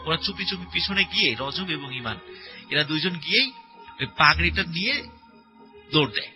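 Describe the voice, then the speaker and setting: A man telling a horror story conversationally as a guest, in a halting, repetitive, suspenseful way. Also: on the high side, expressive, bright, forceful tone. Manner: halting, repetitive, suspenseful retelling with filler words. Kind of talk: spoken horror story told conversationally to a host. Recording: voice over prominent background music. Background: music.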